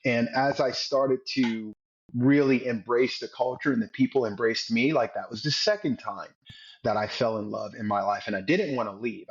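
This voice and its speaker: Earnest, husky-voiced man telling his story. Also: medium pitch. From United States. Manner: earnest, repeats words as he gathers thoughts. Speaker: podcast guest, former Navy SEAL. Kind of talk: reflective storytelling in an interview. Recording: dull lo-fi recording.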